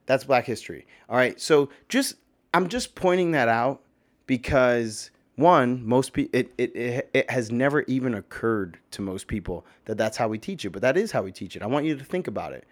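Slightly hoarse man ranting casually. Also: medium pitch, expressive, normal speed. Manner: emphatic, rambling, swears, many fillers. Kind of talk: casual opinion monologue.

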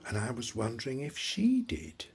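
An actor playing a forgetful, childlike, playful elderly husband in an audio play, halting and deliberate in his speech. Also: medium pitch, wildly swooping pitch, darkish tone, husky, faint music behind, exaggerated voice. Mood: musing, searching. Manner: halting, deliberate, spelling words out slowly.

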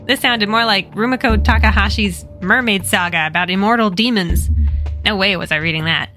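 An American woman narrating a story podcast with a bright, crisp voice. Her delivery is lively and wry, with soft music playing underneath. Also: medium pitch, moderate pace. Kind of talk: storytelling, retelling a manga.

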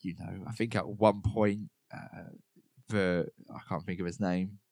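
A man's voice: low voice, steady tone, unhurried, creaky, darkish tone, dry recording. A young man co-hosting a podcast in casual chat, rambling and filling his talk with "like" and "you know". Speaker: podcast co-host.

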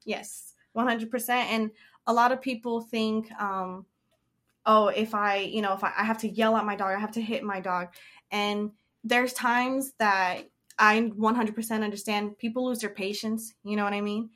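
A woman's voice: mid-range voice, steady tone, moderate pace, fairly bright tone. A woman co-hosting a casual podcast chat, explaining dog training in a confident way and laughing now and then.

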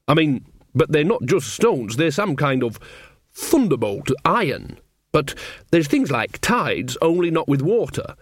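Man voicing a hesitant old countrywoman, pitched up. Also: sing-song, husky, dry recording, studio quality, heavily acted. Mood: hesitant, explaining. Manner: vague, halting, rustic grammar. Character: evasive, exasperated old country woman, mother. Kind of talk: audiobook character acting.

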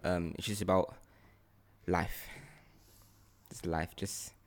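A man with a fairly low voice and a London accent hosts a relaxed, conversational podcast. He speaks in informal slang and often repeats phrases to make his point. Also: slow, a little hiss or hum. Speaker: podcast host. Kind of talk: casual chat.

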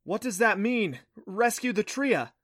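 A man voicing a bold, quick-witted young female adventurer with a brisk, challenging, certain manner in a fantasy story. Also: sits high, lively intonation, fairly bright tone, no echo, studio quality, pitched above the performer's own voice, full character voice. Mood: puzzled.

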